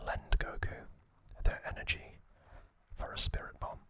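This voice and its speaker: A man whispering softly in a breathy, deliberate ASMR style, telling a recap in short phrases with long pauses between them. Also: no room sound. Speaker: host of an ASMR trivia channel. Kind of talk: ASMR whispered storytelling, loosely scripted recap.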